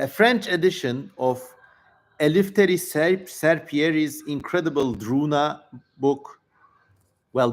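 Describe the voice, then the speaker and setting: A man hosting a livestream in casual chat, effusive and repeating words for emphasis as he talks to viewers. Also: a little high. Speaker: livestream host.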